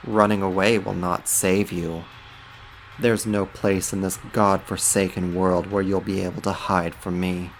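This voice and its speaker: ASMR roleplay archdemon, male performer: low-voiced, measured, mocking, menacing, dominant. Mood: dominant, taunting. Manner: theatrical, taunting, slow and deliberate.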